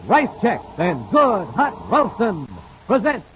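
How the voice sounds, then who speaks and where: Casting: punchy American male radio announcer, fairly high and lively, dull vintage recording. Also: slow pace. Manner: punchy, theatrical, emphatic. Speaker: radio announcer and sponsor pitchman. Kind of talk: 1950s radio announcing, dramatic scripted narration. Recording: dull old radio recording, faint noise.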